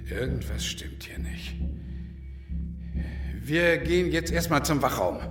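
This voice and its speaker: An actor playing an older male security guard near retirement in a radio drama, husky and gravelly, stumbling over his word starts. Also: a little high, lively intonation, slow, fairly bright tone, faint music behind. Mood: suspicious, stern. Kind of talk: naturalistic radio drama acting.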